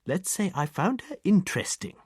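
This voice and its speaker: Guy putting on a high, precise old lady voice, ex-nurse, tart and schoolmarmish, lively lilt. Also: moderate pace, breathy edge, dry recording, studio quality, full character voice. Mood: tart, lecturing. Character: elderly deaf retired head nurse, prim, tart.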